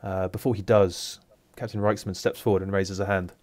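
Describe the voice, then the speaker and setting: A man's voice: low-pitched, flat delivery, brisk, darkish tone, no echo. A man narrating a tabletop game as game master, casual and hesitant with many fillers.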